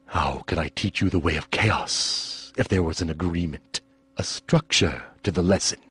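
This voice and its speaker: An actor playing a menacing, cunning warrior of chaos in a video game, speaking in a raspy, deliberate, grandiose way. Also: male voice, sits low, a bit bright, dry recording, heavily acted. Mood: stern. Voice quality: raspy, gravelly. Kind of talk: video game cutscene acting.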